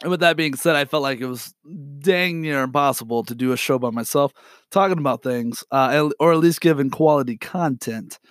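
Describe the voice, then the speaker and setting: A young man hosting a podcast alone, sick and hoarse, talking in an apologetic, rambling way with many fillers and throat clearing. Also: medium pitch, a little fast, dry recording, studio quality. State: sick with a raspy, cracking voice. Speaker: podcast host. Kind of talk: unedited solo podcast update.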